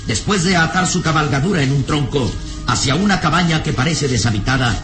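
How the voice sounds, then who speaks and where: A man's voice: on the high side, expressive, brisk, fairly bright tone, faint music behind. A man narrating a radio drama in a solemn, literary, suspenseful manner.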